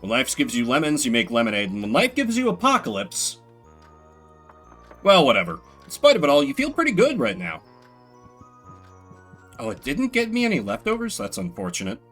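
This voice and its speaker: A man's voice: a little high, wildly swooping pitch, moderate pace, pauses often, a bit bright, soft background music. A man doing solo video game commentary, reading game text aloud dramatically and adding sardonic, rambling asides. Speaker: game streamer.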